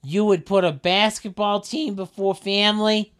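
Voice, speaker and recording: An indignant sitcom mother played by a man, pitched high and scolding her grown sons. She is emphatic and exasperated in every line, with a broad table-read delivery. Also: steady tone, normal speed, fairly bright tone, clean tone.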